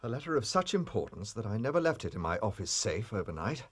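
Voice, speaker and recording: An actor playing an anxious, proper government minister with an upper-class English accent, earnest and formal, in a period drama. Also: male voice, fairly low pitch, big pitch swings, fast talker, breathy edge. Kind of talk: British period detective drama acting.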